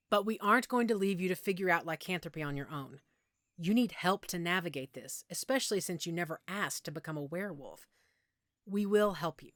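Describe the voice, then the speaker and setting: A woman playing a friendly werewolf pack member in an intimate audio roleplay, patiently explaining and gently reassuring the listener. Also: fairly low pitch, no echo, studio quality, natural voice.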